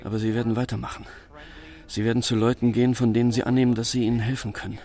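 An actor playing an anxious, urgent go-between in a German radio drama, speaking in a pressing, insistent way. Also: male voice, medium pitch, little pitch movement, racing, dark tone, lightly acted. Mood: urgent, warning. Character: anxious, urgent male go-between warning of danger.

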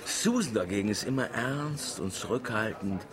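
A man's voice: fairly low pitch, animated, moderate pace, crowd noise, close to an everyday voice. An actor playing a confident, womanizing scientist with a teasing, matter-of-fact manner in a German radio drama. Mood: teasing, familiar. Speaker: scientist examining animal carcasses.

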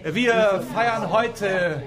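Excited high-voiced husband-to-be. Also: male voice, drawn-out words. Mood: proud, excited. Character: excited happy husband-to-be announcing family news. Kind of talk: party speech in radio drama. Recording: loud music and crowd behind.

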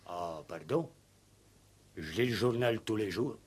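An actor playing a simple, stubborn village farmhand in a radio play, defensive and blunt, with hesitant, ungrammatical country speech. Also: male voice, lowish voice, animated, measured pace, a little mellow, slightly rough. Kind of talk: 1960s French radio play acting.

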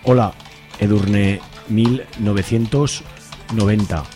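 A young Spanish protester in a radio soap, played by a man, with a low, mellow voice. He is casual and halting, a little jealous underneath, with music in the recording. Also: steady tone. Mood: hesitant, formal. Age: young adult. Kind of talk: amateur radio drama acting.

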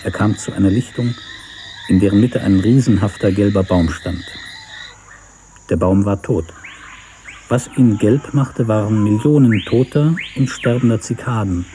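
Low, mellow male literary narrator. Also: measured pace, a little hiss or hum. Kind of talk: literary scripted narration of a story.

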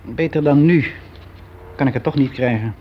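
An actor playing a weary, resigned office clerk around sixty, confiding in a halting, reflective way in a naturalistic radio play. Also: male voice, mid-range voice, close to an everyday voice. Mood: matter-of-fact. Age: 60s. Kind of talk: naturalistic radio drama monologue. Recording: dull, noisy radio play recording.

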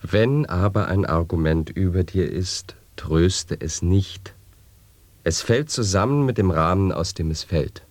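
A deep, deliberate male monologist. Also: a little mellow, no room sound. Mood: solemn, declamatory. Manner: deliberate, measured, declamatory delivery. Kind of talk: avant-garde radio play recitation of nonsense wordplay.

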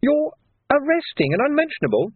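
A man's voice: sits high, wildly swooping pitch, moderate pace, breathy edge, dry recording, pitched above the performer's own voice, exaggerated voice. A man voicing an eager, naive young male lance constable in an audiobook, anxious, questioning and deferential. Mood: worried. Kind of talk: audiobook character acting. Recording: narrow band, phone-like sound.

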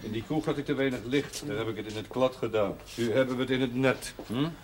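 An actor playing a calm, matter-of-fact village doctor in a television drama, speaking in a measured, instructing way. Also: male voice, medium pitch, lightly acted. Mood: reassuring. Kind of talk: naturalistic television drama acting. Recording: slightly noisy old TV soundtrack.

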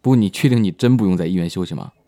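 A man's voice: low-pitched, rapid-fire, dark tone, no room sound, studio quality, close to an everyday voice. A man playing a polite, modest and considerate character in an audio drama. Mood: polite, reluctant.

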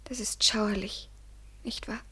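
Radio drama, 1970s German: vulnerable, anxious young female lover, slow, halting delivery, husky whispery edge. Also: medium pitch, steady tone, fairly bright tone, exaggerated voice. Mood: desolate. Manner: breathy, halting, often falling to a whisper. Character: vulnerable, anxious young woman in a doomed love affair.

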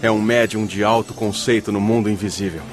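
A man's voice: on the low side, fairly even intonation, fairly quick, soft background music, lightly acted. An actor playing a learned, courteously authoritative male spirit instructor in a radio drama, formal and instructive. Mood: solemn explaining.

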